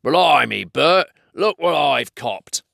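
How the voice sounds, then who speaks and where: Man putting on a gruff, beery troll. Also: medium pitch, lively intonation, unhurried, bright, forceful tone, dry recording, studio quality, heavily acted. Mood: startled, suspicious. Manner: rough, slangy, blunt. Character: fair-sized male troll, gruff, beery, slow-witted, grudgingly soft-hearted.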